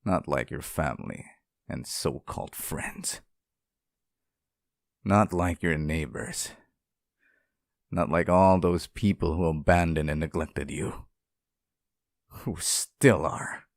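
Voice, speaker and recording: A man voicing a lonely, sweet and possessively devoted sealed demon in an intimate ASMR roleplay, speaking softly, with tender, hesitant pleading. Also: rumbling low, takes it slowly, gravelly, pauses often, no echo, studio quality, exaggerated voice. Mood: lonely, brooding. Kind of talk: ASMR roleplay, intimate scripted monologue to the listener.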